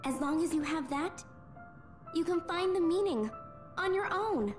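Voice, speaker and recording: An actress playing a gentle, resolute young heroine in a video game, sincere and pleading. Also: female voice, very high-pitched, lively intonation, a little slow, faint music behind. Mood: compassionate. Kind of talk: video game drama acting.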